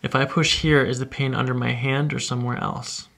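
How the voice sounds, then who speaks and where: A man's voice: mid-range voice, level tone, a little fast, gravelly, a bit bright. A middle-aged man working as a chiropractor, giving a patient short, soothing step-by-step instructions during hands-on treatment. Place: small treatment office, indoors. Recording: faint noise behind voice.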